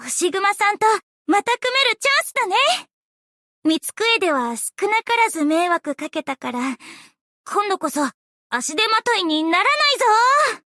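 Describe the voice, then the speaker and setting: A woman playing an earnest, bubbly, easily flustered young female idol in an anime game, with a cute, expressive, girlish delivery. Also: very high-pitched, moderate pace, no room sound, clean studio sound, heavily acted. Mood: hopeful, chatty. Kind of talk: anime game character voice lines.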